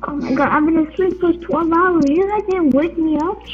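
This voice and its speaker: A woman in a casual online roleplay, excitable and repeating words. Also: very high-pitched, moderate pace. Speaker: roleplay player voicing a sibling. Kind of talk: improvised roleplay chat. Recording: muffled audio under game music.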